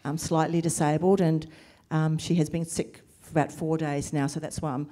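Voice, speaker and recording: A middle-aged woman talks on a panel about caring for family, earnest and measured. Her voice sits low and mellow, with the weariness of someone short on sleep. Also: flat delivery. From Lebanese family, raised in New Zealand and Australia. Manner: earnest, emotional, measured with pauses. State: sleep deprived. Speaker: panelist, carer and social worker. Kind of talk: panel discussion answers.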